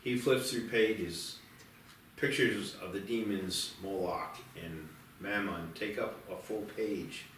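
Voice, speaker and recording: Male screenplay narrator, low voice, recorded far off in a very echoey room. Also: slow pace, fairly bright tone, slight background noise. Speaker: screenplay narrator at a table read. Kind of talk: scripted narration, reading stage directions. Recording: distant echoey room, clear music behind.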